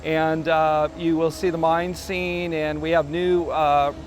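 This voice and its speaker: A man's voice: on the high side, little pitch movement, slow pace. A man who designs theme park rides, explaining a new attraction enthusiastically, with many uhs and restarts. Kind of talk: interview explanation.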